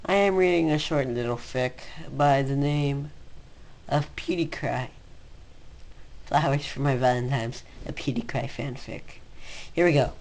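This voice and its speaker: A man's voice: mid-range voice, darkish tone. A man giving an amateur dramatic reading of fan fiction as the story's narrator. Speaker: story reader. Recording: noisy home recording.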